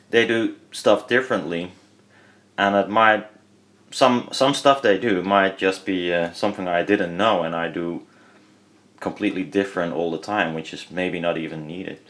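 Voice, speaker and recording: Low-pitched Dutch-accented man, rambling. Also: fairly bright tone. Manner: hesitant, rambling, self-correcting. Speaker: hobbyist presenter. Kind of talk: casual hands-on product teardown commentary.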